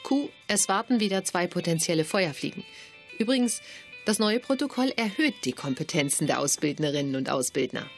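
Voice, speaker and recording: A woman playing a brisk, weary secret agency colleague in a scripted audio drama, speaking in a dry, matter-of-fact way. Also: on the low side, expressive, creaky, faint music behind.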